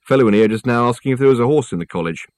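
A man voicing a large, unflappable college porter in an audiobook, deadpan and politely formal. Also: mid-range voice, very fast, no echo, natural voice. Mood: dry, unimpressed.

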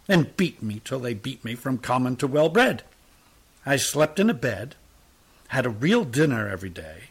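A man voicing a pious, self-pitying male tramp with a soft, drawling, sickly sweet voice. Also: medium pitch, breathy edge, full character voice. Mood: boastful.

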